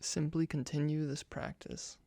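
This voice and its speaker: A soft, hushed man guiding a meditation in ASMR style, speaking gently in measured short phrases. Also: fairly high pitch, little pitch movement, vocal fry, dry recording.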